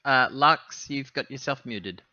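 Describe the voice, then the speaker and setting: A man playing a tabletop game over a voice call, talking casually and haltingly as he thinks out loud. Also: medium pitch, no room sound, dull audio. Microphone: online voice call.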